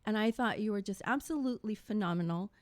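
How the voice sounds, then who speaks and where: A woman's voice: medium pitch, fast, no echo. A woman hosting a casual live-stream interview, effusive and informal as she speaks directly to her viewers. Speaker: talk show host.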